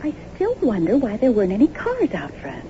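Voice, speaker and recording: Lively, friendly young wife. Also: female voice, fairly high pitch, a little fast. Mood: sleepy, chatty. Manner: friendly, chatty, easygoing. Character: cheerful, polite, curious young wife. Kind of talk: naturalistic radio drama acting. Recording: muffled, noisy old radio broadcast.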